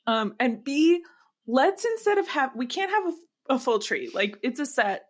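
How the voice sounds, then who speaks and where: A woman's voice: sits high, sing-song, moderate pace. An American woman co-hosting a podcast, chatting casually in a playful, joking, colloquial way. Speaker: podcast co-host. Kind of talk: casual chat, comic commentary.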